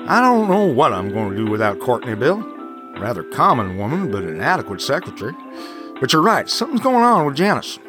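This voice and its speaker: A man's voice: mid-range voice, huge pitch swings, brisk, music in the background. Snobbish, swooping male executive. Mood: haughty. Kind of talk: campy audio drama acting.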